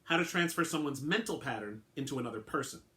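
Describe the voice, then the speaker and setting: A man's voice: medium pitch, animated, quick pace, pushed and bright, voice pushed up. A man voicing an eccentric, oddly formal male clone who explains patiently and keeps correcting himself. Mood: explaining.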